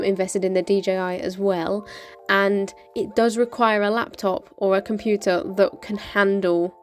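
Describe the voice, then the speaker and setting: Woman, British accent, mid-pitched, informal vlogger explainer, chatty and practical, light background music. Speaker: motorcycle vlogger presenting. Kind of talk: casual explainer of a gear setup.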